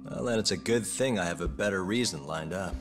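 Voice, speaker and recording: A man's voice: low-pitched, animated, a little fast. A man playing a defiant ex-lawyer detective in a video game drama, with a laid-back, sardonic, probing manner. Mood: cocky, sardonic. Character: cocky, defiant male ex-lawyer turned detective. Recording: music under the dialogue.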